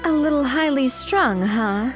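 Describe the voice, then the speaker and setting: A woman's voice: high voice, animated, unhurried, faint music behind. A woman playing a candid, easygoing young leader in an anime video game, speaking in a conversational, explanatory way. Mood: wry, resolved.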